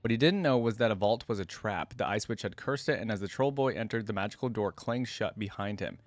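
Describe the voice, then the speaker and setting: A man with a fairly low voice gives casual reaction commentary and reads lore aloud. His delivery is informal, as if thinking out loud, and the sound is dry and close. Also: a little fast, no echo. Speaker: reaction video host.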